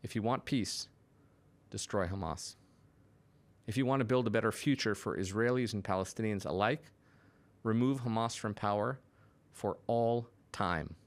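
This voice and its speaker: Emphatic, low-voiced man delivering political commentary. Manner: emphatic, punchy, dramatic pauses between short phrases. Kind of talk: scripted monologue to camera, political commentary.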